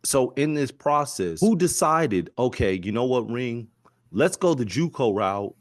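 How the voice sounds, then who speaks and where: A young male host with a mid-pitched voice leads a relaxed interview, friendly and easygoing. The recording is dry and close, with no room sound. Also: dry recording. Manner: laid-back, friendly, with quick affirmations. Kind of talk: casual interview.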